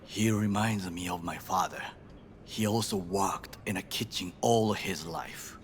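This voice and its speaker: A grave video game bodyguard with a Japanese accent and a lowish, creaky voice. He is stern and formal, choosing clipped words with pride. A faint rumble sits behind him. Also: measured pace. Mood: grave, firm. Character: grave, proud, loyal Japanese ex-bodyguard, middle-aged man. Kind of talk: video game dialogue acting.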